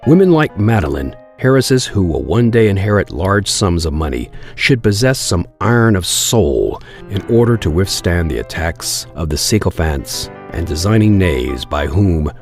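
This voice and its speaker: A man narrating a Victorian melodrama in old-style radio theatre, formal, measured and theatrical. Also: sits low, sing-song, darkish tone, soft background music. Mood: solemn, dramatic. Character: grave, ornate storyteller of Victorian melodrama.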